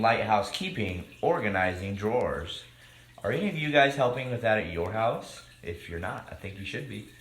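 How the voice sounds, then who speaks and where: A man's voice: mid-range voice, fairly bright tone. A man reading a children's storybook aloud expressively and speaking directly to his young listeners. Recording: echoey room with background noise.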